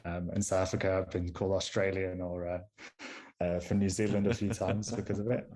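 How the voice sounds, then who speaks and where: A man's voice: low-pitched, level tone, fairly quick, warm tone. A young man from Manchester with a northern English accent, explaining his research as a podcast guest in a casual, explanatory way with small restarts. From Manchester, England. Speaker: astronomer guest.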